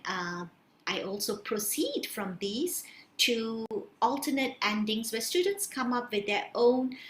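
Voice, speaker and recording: A Malaysian woman lecturer gives a prepared presentation. Her voice is bright and forward at a middle pitch. The recording is roomy and echoey, with faint noise underneath. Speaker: senior lecturer in English, conference presenter. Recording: roomy echo, faint background hiss.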